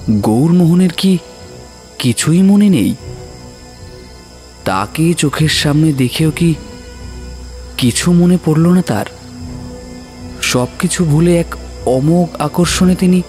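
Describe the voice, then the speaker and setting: A male narrator tells a horror story in a slow, measured delivery. His mid-pitched voice is dramatic and suspenseful, set over faint music. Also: a little mellow.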